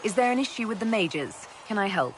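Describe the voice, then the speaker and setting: An actress playing a composed, diplomatic heroine in a fantasy video game, speaking politely in a measured, direct way. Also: female voice, medium pitch, expressive, a little hiss or hum, lightly acted. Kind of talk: dramatic video game dialogue.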